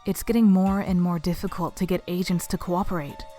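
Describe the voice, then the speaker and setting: A woman's voice: on the low side, steady tone, fairly quick, mellow, faint music behind, close to an everyday voice. A woman playing a doubtful, frustrated lieutenant in a fan-made anime audio drama, speaking respectfully but hesitantly. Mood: uneasy. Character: frustrated, doubtful female lieutenant reporting to her commander.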